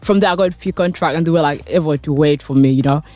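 A woman in her twenties from South Sudan, raised in Australia, answering interview questions in a soft, hesitant way with many fillers. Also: low voice, fast talker. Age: 20s. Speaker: model and guest. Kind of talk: casual interview chat.

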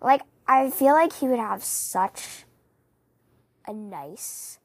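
High-pitched, giggly young-sounding woman with a cold. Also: takes it slowly, husky, no room sound. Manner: giggly, rambling, animated. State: scratchy voice from a cold. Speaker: podcast host. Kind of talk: casual chatty book-fan podcast talk.

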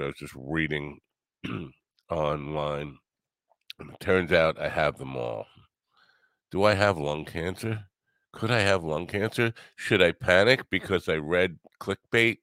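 An older man hosting his own podcast in a rambling solo monologue, grumbly and low, wry and self-mocking. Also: a bit bright, smooth, dry recording. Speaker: podcast host.

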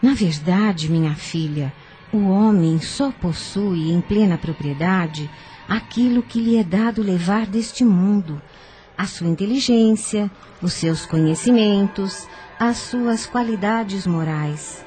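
An actress playing a wise, patient older aunt in a radio soap, giving solemn, measured spiritual counsel. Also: female voice, mid-range voice, mellow, lightly acted. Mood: solemn, consoling. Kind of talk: radio soap opera acting. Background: music.

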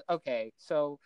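A woman with a lowish voice talks films as a podcast co-host. Her delivery is casual and halting, with an exasperated critical edge. The sound is very dry, with no room to it. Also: darkish tone, smooth, dry recording. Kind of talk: casual film discussion.

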